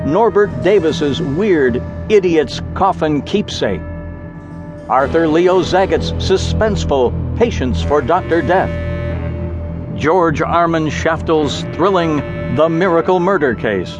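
A man's voice: on the high side, expressive, takes it slowly, muffled recording. A man announcing in a dramatic, emphatic, scripted promotional style. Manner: theatrical, emphatic. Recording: voice over clear music. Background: music.

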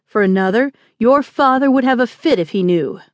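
A woman's voice: on the high side, animated, normal speed, dry recording, lightly acted. A woman voicing a stern, commanding male frontier marshal in audiobook dialogue, firm and irritable. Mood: scolding.

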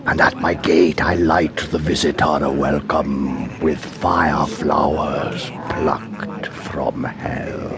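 An actor playing an ancient, menacing vampire count in a gothic radio drama, sinister and portentous. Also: male voice, a bass voice, fairly even intonation, takes it slowly, hardly any pauses, heavy background noise, full character voice. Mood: sinister relish.

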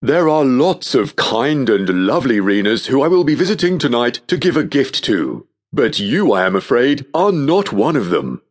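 A woman voicing Father Christmas as a steady, unruffled old man in a lowered voice. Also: moderate pace, few pauses, slightly rough, full character voice. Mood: firm.

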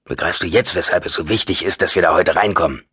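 A man's voice: sits low, quick pace, bright, forceful tone, husky, dry recording, studio quality, heavily acted. A man voicing a short, chatty, paranoid homeless man in an audiobook, rambling insistently and nervously. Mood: agitated, conspiratorial.

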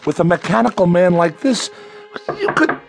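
A man's voice: sits high, animated, moderate pace, gravelly, music in the background. An actor playing a mild, boyish toy designer in his thirties, hesitant yet stubbornly enthusiastic, in a radio drama. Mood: excited, defensive. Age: 30s.

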